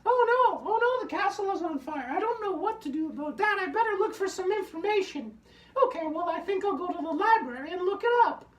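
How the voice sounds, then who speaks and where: A man doing a squeaky, fretful puppet girl. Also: animated, a little fast, fairly bright tone. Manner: fretful, chatty, talks to the audience. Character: sad fuzzy female hand puppet. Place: home workshop room. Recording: roomy, slightly distant.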